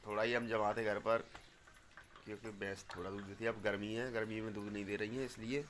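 A middle-aged man, narrating a casual village vlog on a handheld phone in an outdoor courtyard and often calling out loudly to a child. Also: fairly low pitch, brisk, a little hiss or hum. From Uttar Pradesh, India. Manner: loud calling out to a child, then relaxed explaining. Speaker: village vlogger.

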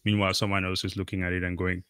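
Middle-aged male host, deep-ish, dry close sound, loose and emphatic with fillers. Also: level tone, rapid-fire, a bit bright, clean tone, no echo. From Cameroon. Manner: rambling, emphatic, many fillers. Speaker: podcast host. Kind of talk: casual conversational interview. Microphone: video call.

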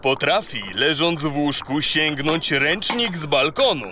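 A man's voice: fairly high pitch, measured pace, noisy background, lightly acted. A male actor playing a proud, imperious yet gracious sea king in a children's audio play, with a theatrical, courtly manner. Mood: courtly, curious.

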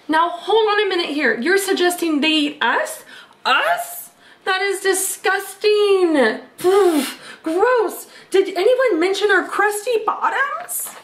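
A woman's voice: very high voice, big pitch swings, slow pace, a bit bright, echoey room, pitched above the performer's own voice, full character voice. A woman voicing an indignant, dramatic, cheeky pizza slice from a storybook, with exaggerated outraged exclamations.

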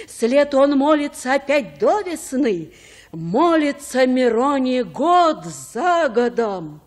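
An actress playing a gentle old grandmother, telling folk tales in a measured, sing-song chant. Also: sits high, full character voice. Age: older. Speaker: storyteller. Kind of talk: folk verse tale, sing-song storytelling.

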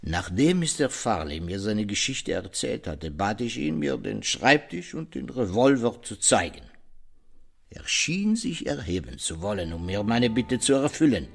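An actor playing a shrewd, self-satisfied middle-aged detective in an audio drama, courteous, measured and formal in his questioning. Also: on the low side, very animated, gravelly, full character voice. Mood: explaining, assured.

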